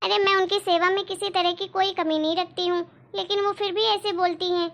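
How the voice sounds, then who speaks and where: Frustrated daughter-in-law voiced by a woman, arguing at length, very high pitch. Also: flat delivery, a little fast, vocal fry, fairly bright tone, heavily acted. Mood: exasperated. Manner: exasperated, arguing, explaining at length. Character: exasperated young daughter-in-law, put-upon.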